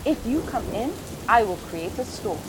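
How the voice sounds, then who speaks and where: A woman voicing a mysterious, boastful creature who threatens in a slow chant, for a children's storybook. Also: a little high, animated, noisy background, lightly acted. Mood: menacing. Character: booming mysterious menacing creature, boastful storm-maker.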